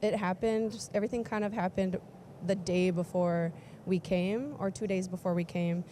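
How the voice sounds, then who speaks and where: A woman's voice: on the low side, fairly even intonation, normal speed, mellow, slight background noise. An American woman from South Dakota answering interview questions casually as a tourist. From United States, South Dakota.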